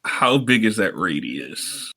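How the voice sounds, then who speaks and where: A man's voice: sits low, very animated, slow pace, bright, forceful tone. A man playing a tabletop roleplaying game, chatting casually and playfully as he thinks aloud.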